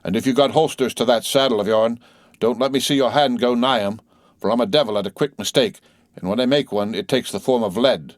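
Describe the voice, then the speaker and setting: A man voicing a gruff, suspicious armed coach guard in a storybook, with a barking, threatening manner. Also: medium pitch, a little fast, dry recording, studio quality, voice pushed up, natural voice.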